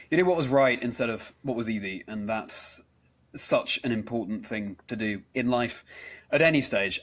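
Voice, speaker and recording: A man hosting a conversational interview in an earnest, encouraging and effusive manner. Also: fairly low pitch. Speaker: interview show host.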